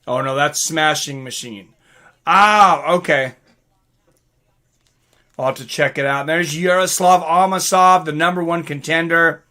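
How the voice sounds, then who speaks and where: Bright, excitable man chatting on a livestream. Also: on the high side. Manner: excitable, informal, swearing. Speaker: livestream host. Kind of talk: casual livestream chat, reading out viewer comments and reacting.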